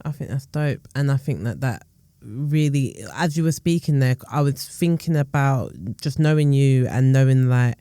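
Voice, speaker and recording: A man hosts a casual podcast interview with a very soft, dark and mellow tone. The sound is dry, and his way of talking is informal and encouraging. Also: medium pitch, normal speed, clear, resonant tone, dry recording. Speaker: podcast host.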